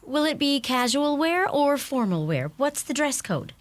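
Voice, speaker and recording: Radio play: prim young American fiancée female actor, highish, very animated, formally courteous. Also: unhurried. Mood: earnest. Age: young adult. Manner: formal, polite.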